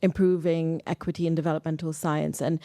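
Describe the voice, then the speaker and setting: A woman researcher giving advice on a live panel, speaking in a measured way with pauses mid-thought. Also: low voice, little pitch movement, mellow, no room sound, clean studio sound. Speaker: panelist, researcher and founder of a science network.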